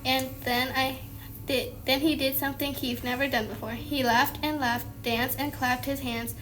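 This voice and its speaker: High-voiced woman reading a storybook. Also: little pitch movement, a bit bright. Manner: halting, repeats words and phrases. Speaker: student reader. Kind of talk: reading a children's storybook aloud. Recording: music playing behind the voice. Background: music.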